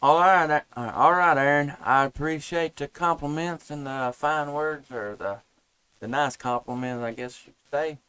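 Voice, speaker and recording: Guy doing ham radio stream chat, bright voice, close dry mic, folksy. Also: on the high side, normal speed, clean tone, no room sound, poor audio. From United States. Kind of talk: casual amateur radio chat. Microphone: headset and boom microphones.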